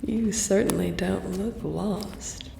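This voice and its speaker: A woman voicing a flirtatious, predatory vampire lady in an intimate audio roleplay, teasing and haughty, often sinking into a breathy whisper. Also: mid-range voice, fairly even intonation, slow pace, mellow, a little hiss or hum.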